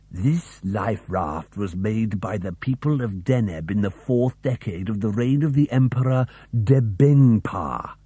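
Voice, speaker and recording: Man acting a hesitant, husky schoolmaster. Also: medium pitch, mellow, no echo. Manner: hesitant, precise, bookish. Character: nervous, scholarly male ex-Latin teacher. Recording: dry cassette tape recording.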